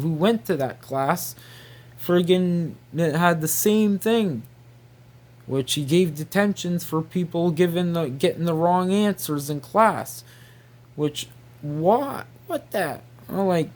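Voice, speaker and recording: A man rambling casually to viewers, exasperated and incredulous, repeating himself and peppering his talk with mild slang swearing. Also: a little high. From New Brunswick, Canada. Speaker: video creator.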